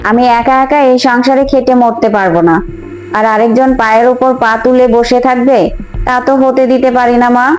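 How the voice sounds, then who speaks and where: A woman's voice: fairly high pitch, fairly even intonation, fairly quick, a little mellow, close to an everyday voice, poor audio. A woman voicing a crafty, nagging elder daughter-in-law in a fairy-tale cartoon. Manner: nagging, assertive. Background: background music.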